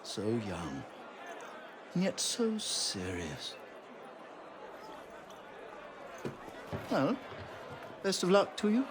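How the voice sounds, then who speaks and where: Video game beggar king, theatrical and mocking, animated pitch, city noise behind. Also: takes it slowly. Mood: mocking, amused. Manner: theatrical, wry, florid. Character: flamboyant, sly, mocking self-crowned beggar king. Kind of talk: video game cutscene acting.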